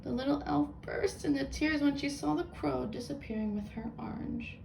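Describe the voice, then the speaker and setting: A woman reading a children's book aloud with expressive narration. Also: sits high, moderate pace, gravelly, a little hiss or hum, poor audio.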